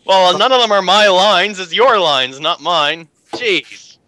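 A man's voice: high voice, takes it slowly, sharp tone. A man bantering casually during an amateur group script reading. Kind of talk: casual banter between read lines.

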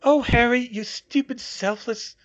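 An anxious, bossy young witch, as a male narrator puts her on, pitched well up and pushed loud. She speaks with urgent emphasis in an audiobook style, and the recording is a little dull. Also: fairly even intonation, a little slow. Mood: fretful scolding. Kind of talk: audiobook character voice.

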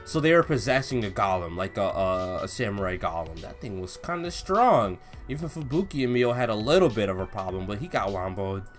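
Animated young man gushing over background music. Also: fairly low pitch, brisk, few pauses. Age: young adult. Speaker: reaction video host. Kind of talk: excited casual reaction commentary, reading manga aloud. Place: home room with bookshelf, indoors.

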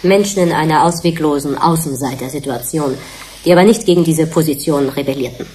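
An actress playing a reflective, ethically concerned scientist in a German radio drama, formal and articulate. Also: female voice, sits low, brisk, dark tone, slight background noise, close to an everyday voice, rough recording. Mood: grave.

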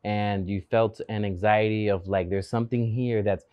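A man's voice: on the low side, steady tone, moderate pace, clear, resonant tone, no room sound, dull audio. Spanish-accented male host, chatty and agreeable, close dry sound. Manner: agreeable, frequent backchannels, mixes in Spanish phrases. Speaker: host, interviewer. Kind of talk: casual interview conversation. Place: shaded outdoor patio with plants. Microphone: lapel.

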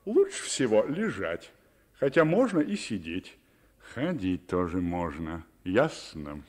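An actor playing an absent-minded, careless ship's doctor in a children's radio play, with theatrical, sing-song delivery. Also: male voice, low-pitched, huge pitch swings, slow pace, darkish tone, full character voice. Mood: fussy, muttering. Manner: theatrical, half-sung verse, muttering asides.

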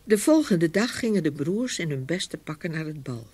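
A woman narrating a scripted fairy tale with expressive storytelling. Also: sits low, moderate pace, breathy edge.

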